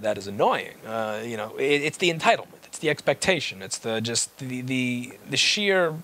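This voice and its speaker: A man's voice: medium pitch, fairly bright tone, a little hiss or hum. A man giving a blunt, exasperated, unscripted rant to viewers, stressing points by repeating phrases. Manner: exasperated, occasionally swearing. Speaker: repair business owner making a video.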